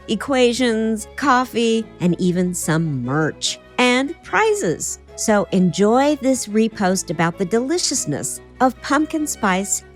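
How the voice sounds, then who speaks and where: A woman podcast host losing her voice, giving a chatty, upbeat casual intro. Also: lowish voice.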